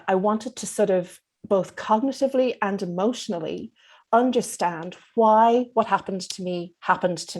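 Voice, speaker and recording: An Irish woman in her fifties speaking as an interview guest, in an articulate, measured and reflective way. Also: mid-range voice, creaky, darkish tone. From Dublin, Ireland. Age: 50s. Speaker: psychotherapist being interviewed.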